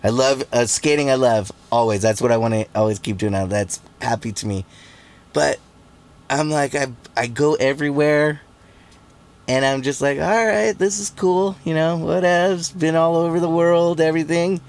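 A man's voice: fairly high pitch, moderate pace. Forty-something guy on a podcast mic, rambly and chill, lots of "like". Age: 40s. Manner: laid-back, rambling storyteller with lots of fillers. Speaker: podcast guest. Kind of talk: casual chat. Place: podcast studio. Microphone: studio mic on boom.

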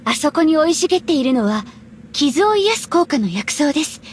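Polite high-voiced anime woman. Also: big pitch swings, normal speed, a little hiss or hum. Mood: calm. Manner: polite, attentive. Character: polite, observant, caring young anime woman. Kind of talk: anime game voice acting.

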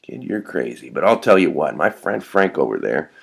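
A man narrator acts out a sardonic drifter with a lively, swinging delivery. He is mouthy and profane, always wry and mocking. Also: rumbling low, moderate pace, vocal fry, slightly rough, exaggerated voice. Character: sardonic, mouthy male vagrant in a story.

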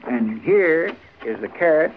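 An actor playing a folksy, earnest frontier deputy with a rural American Western drawl in a 1950s radio drama. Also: male voice, a little high, big pitch swings, a little slow, slight background noise. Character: folksy, earnest, penniless deputy in the Old West. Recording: dull vintage 1950s radio recording.

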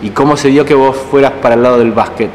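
A man with an Argentine Rioplatense accent who interviews his guests in a brisk way, using short prompting questions. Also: mid-range voice, fairly even intonation, long reverb tail, noisy background. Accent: Rioplatense Spanish. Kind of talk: journalist interview.

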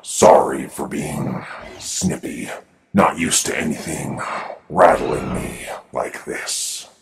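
A man playing a curt, proud veteran robot medic with a gruff manner in an animated drama. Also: extremely low pitch, slow, heavily acted. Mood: weary, gruff. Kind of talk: dramatic animated-film acting.

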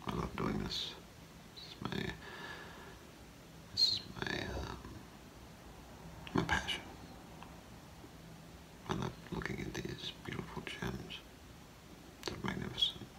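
A middle-aged man doing an ASMR role play as a gemologist, in a hushed, halting, whispery murmur. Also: extremely low pitch, poor audio. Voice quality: breathy, whispery, gravelly murmur. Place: small curtained room indoors.

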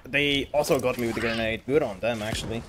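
A woman's voice: rumbling low, fast, background noise. A woman playing a team game over voice chat, exclaiming and reacting to what happens. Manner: lively, exclaiming at game events. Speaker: teammate. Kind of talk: casual gaming chat. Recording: game music behind voice. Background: game music.

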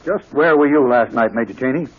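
Police inspector male actor: blunt, distrustful, rough husky tone, thin band-limited period radio broadcast. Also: medium pitch, fairly quick, narrow, tinny audio, close to an everyday voice. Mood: suspicious. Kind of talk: 1940s radio acting.

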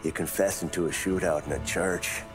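An actor playing a skeptical, hard-nosed American police detective of the 1930s in a crime drama game. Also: male voice, sits low, fairly even intonation, moderate pace, over music, close to an everyday voice. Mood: skeptical, dry. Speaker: video game character. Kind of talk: cinematic crime drama acting.

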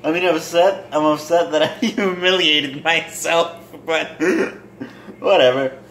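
A man in his twenties casually demonstrating home cooking to camera in a halting, rambling, self-deprecating way. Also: fairly high pitch, fairly bright tone. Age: 20s. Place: small home kitchen and dining room. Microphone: handheld or propped phone near arm's length. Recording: roomy echo, kitchen noise with sizzling.

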